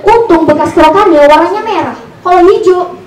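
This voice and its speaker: Young woman, high voice, stand-up comedy, small echoey venue, recorded from the audience. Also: fairly quick, a little mellow, far from the mic. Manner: performed storytelling with repeated punchlines. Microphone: handheld mic through speakers, recorded from audience. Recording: echoey room, off-mic camera audio.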